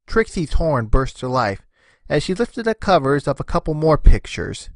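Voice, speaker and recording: A man's voice: a little high, very animated, moderate pace, no room sound. A man narrating a scripted story expressively, as the narrator of a fan fiction reading.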